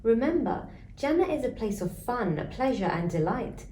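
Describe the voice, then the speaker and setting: A kindly grandmother, as a woman reader plays her in a storybook, gently reassuring and mellow. The voice sits in an echoing room. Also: fairly high pitch, big pitch swings, normal speed, pitched above the performer's own voice. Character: kindly, reassuring grandmother telling twins about paradise. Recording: roomy echo, faint background noise.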